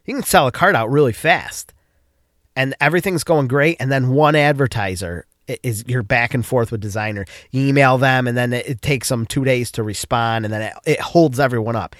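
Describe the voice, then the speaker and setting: A man's voice: mid-range voice, brisk, a bit bright, dry recording, studio quality. A man with a stuffed-up nose from a cold, hosting a casual solo podcast and rambling with frequent restarts. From upstate New York. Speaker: podcast host.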